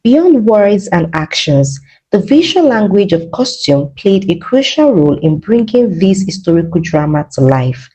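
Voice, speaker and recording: A woman's voice: medium pitch, big pitch swings, unhurried, dark tone, rough recording. A woman reading scripted documentary narration in a polished, dramatic way, as a reporter.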